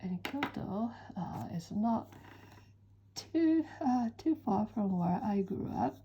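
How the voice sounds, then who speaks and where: A woman from western Japan with a Japanese accent, chatting in an unhurried, soft-spoken way with frequent pauses while she draws. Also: mid-range voice, lively intonation, highs cut off, a little hiss or hum. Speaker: art instructor. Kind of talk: relaxed chat while drawing.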